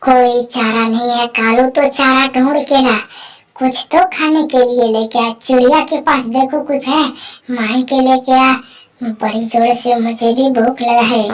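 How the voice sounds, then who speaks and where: Woman voicing a high-pitched nagging crow wife. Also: steady tone, unhurried, natural voice. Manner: nagging, commanding. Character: bossy, hungry, scolding female crow wife. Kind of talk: Hindi children's animated fable dialogue.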